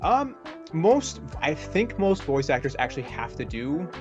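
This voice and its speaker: A man's voice: a little high, very animated, unhurried, lo-fi sound, music in the background. A man giving a casual, rambling interview as a voice actor, often tagging phrases with a checking question.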